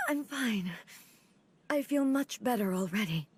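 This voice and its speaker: A woman playing a dignified, reserved warrior ruler in a video game, speaking in a formal, measured way. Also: a little high, expressive, slight background noise. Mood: composed, halting.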